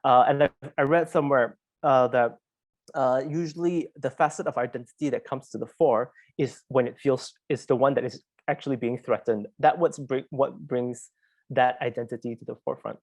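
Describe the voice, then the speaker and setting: A man's voice: medium pitch, fairly even intonation, normal speed, darkish tone, dry recording. Malaysian man presenting, close dry sound. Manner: conversational, prompts listeners with repeated questions. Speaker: investigative journalist presenting as a webinar speaker. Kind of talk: informal interactive webinar presentation.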